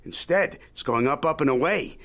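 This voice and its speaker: An actor playing a shrewd, folksy old media mogul in an audio drama, haggling in a wry, needling, Yiddish-peppered way. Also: male voice, on the high side, animated, normal speed, a bit bright. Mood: scornful. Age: older.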